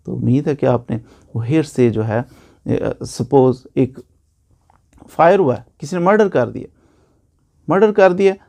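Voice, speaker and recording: A man's voice: fairly high pitch, gravelly, dark tone. A man with a Pakistani accent giving an informal law lecture, explaining by repeating points and posing questions that he answers himself.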